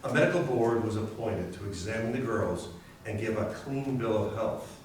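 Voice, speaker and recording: A man's voice: fairly low pitch, natural voice. A man playing a lawyer who recounts a court case in a staged readers theater narration. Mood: grave, measured. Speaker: community theatre performer. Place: library readers theater performance, indoors. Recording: very echoey hall, distant, faint hiss.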